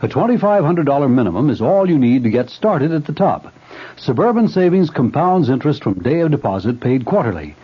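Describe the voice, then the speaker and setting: A man reading a radio commercial in a brisk, persuasive way, on a dull old radio recording. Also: a little high, very animated, slightly rough. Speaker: ad announcer.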